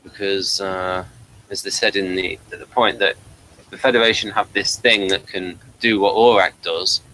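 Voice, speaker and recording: A man hosting a review show, chatting casually in a hesitant, halting way and often repeating words. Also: deep voice, fairly even intonation, sharp tone, a little hiss or hum, poor audio. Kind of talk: casual audio drama review chat.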